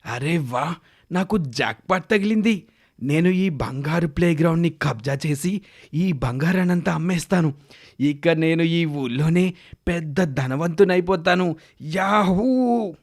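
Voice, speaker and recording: A man voicing a greedy village thug who talks in a bullying, commanding, scornful way for a children's animated story. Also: on the high side, measured pace. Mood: gloating.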